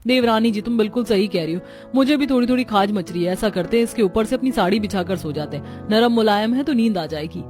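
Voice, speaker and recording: A woman performing family dialogue for an animated story. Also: medium pitch, fast, faint music behind. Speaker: voice of the women of a family. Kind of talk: acted Hindi cartoon story dialogue.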